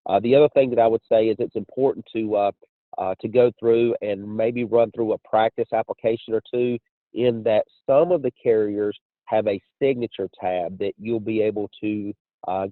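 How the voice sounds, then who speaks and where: A man giving a measured, explanatory testimonial as an insurance agent on a conference call. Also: fairly low pitch. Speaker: insurance agent and training director. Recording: muffled phone line with room echo.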